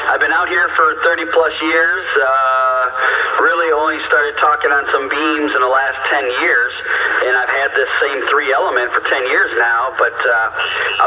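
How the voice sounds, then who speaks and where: Middle-aged man through heavy CB radio static. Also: mid-range voice. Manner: easygoing, repeats radio phrases. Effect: radio transmission. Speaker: CB radio operator. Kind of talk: casual radio contact chat. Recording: dull CB radio signal, loud static.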